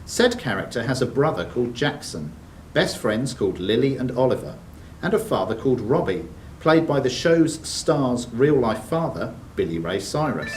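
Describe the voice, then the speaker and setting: A man's voice: medium pitch, very animated, normal speed, few pauses. Male quiz master in a roomy space. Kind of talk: reading quiz questions aloud to contestants. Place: indoors in a club room, mic at a distance. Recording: roomy hall, distant mic, faint noise.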